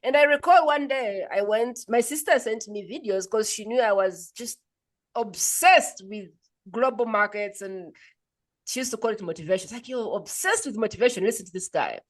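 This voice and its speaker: Animated storytelling woman from Uganda. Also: medium pitch, moderate pace. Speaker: podcast host. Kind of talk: conversational interview, storytelling.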